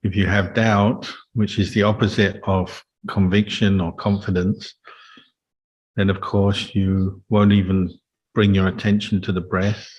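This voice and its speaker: A man speaking as a meditation teacher, giving calm, measured guidance in a Buddhist talk. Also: sits low, slightly rough, muffled recording. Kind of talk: guided meditation and Dhamma talk.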